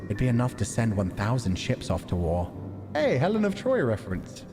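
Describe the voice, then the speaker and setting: A man's voice: medium pitch, wildly swooping pitch, normal speed, music in the background. A man hosting a game stream, reading visual novel narration aloud and chatting casually about it. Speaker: livestream host playing a visual novel.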